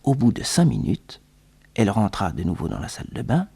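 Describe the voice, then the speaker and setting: A man acting as narrator of a French detective radio serial, with a slightly husky voice, telling it suspensefully. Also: on the low side, wildly swooping pitch, a little fast, dark tone, heavily acted. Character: detective's loyal assistant recounting the adventure.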